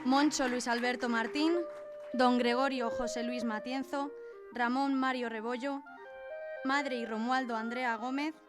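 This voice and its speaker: A woman's voice: a little high, fairly even intonation, unhurried. A woman announcer reading scripted closing credits for a radio drama. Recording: music behind. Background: music.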